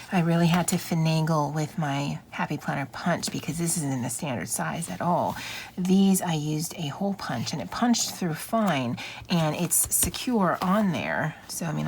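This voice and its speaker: A woman chatting casually as she comments on a craft flip-through, musing informally with wry asides. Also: low voice. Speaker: craft channel host. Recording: clear steady background noise.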